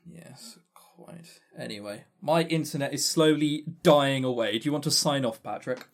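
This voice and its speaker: A man co-hosting a podcast, chatting casually and opinionatedly about games in a halting, animated way. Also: medium pitch. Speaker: podcast co-host.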